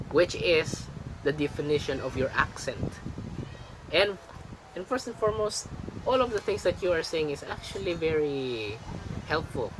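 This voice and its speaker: An emphatic young man from the Philippines lectures in casual family chat, repeating himself to press a point. His voice sits fairly high. The recording carries clear background noise. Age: young adult. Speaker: vlogger's son, critic. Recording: noisy home recording.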